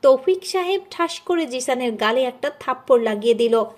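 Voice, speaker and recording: A woman's voice: high-pitched, lively intonation, moderate pace, darkish tone. A woman narrating a dramatic audio story from a script.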